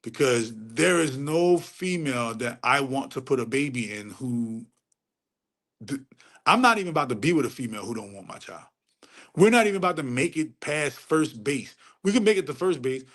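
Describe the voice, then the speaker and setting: Casting: middle-aged man, mid pitch, preachy streamer, crude and emphatic, repeats himself. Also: animated, moderate pace, a bit bright. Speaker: livestream host answering chat. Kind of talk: casual unfiltered livestream rant.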